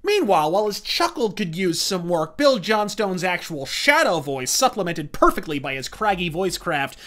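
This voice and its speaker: A man's voice: high voice, big pitch swings, moderate pace, a bit bright. A man delivering scripted, profane commentary in a verbose, caustic and emphatic manner. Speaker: video essayist and reviewer.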